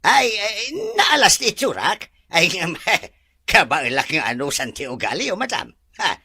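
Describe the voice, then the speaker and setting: A man's voice: high voice, sing-song, moderate pace, pushed and bright, slightly rough, no room sound, exaggerated voice. A man with a Filipino Ilocano accent acting a humble, middle-aged driver in an audio drama, stammering and teasing as he defers to his employer. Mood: sheepish, deferential. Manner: stammering, giggly, full of interjections.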